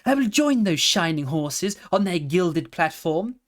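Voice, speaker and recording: A man's voice: high voice, sing-song, measured pace, pitched above the performer's own voice. A man voicing a proud, headstrong young male pony in a storybook reading, lofty and eager.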